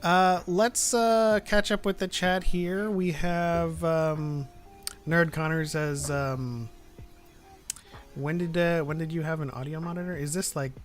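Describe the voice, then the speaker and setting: Guy with highish voice hosting a stream, stops and restarts, chatty, some music behind him. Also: steady tone, takes it slowly. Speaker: live stream host. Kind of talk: casual chat, reading out live chat. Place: online group live stream. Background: background music.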